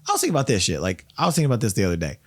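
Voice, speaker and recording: A man in his late thirties hosting a casual podcast chat, swearing freely and telling animated stories. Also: lowish voice, very fast, dry recording, clean studio sound. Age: 30s. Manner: profane, animated storytelling. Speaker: podcast host.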